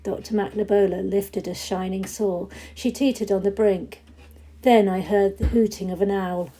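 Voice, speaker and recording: A woman narrating a story expressively, with dramatic, measured phrasing that is often hushed. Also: mid-range voice, steady tone, very noisy. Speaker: story reader. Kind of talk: expressive audiobook-style narration.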